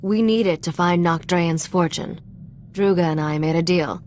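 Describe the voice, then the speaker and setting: Low-voiced, self-assured woman acting a sly smuggler. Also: moderate pace, darkish tone, slight background noise, lightly acted. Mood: cool, assured. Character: confident worldly young female smuggler's partner, cool and sly. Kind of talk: video game cutscene dialogue.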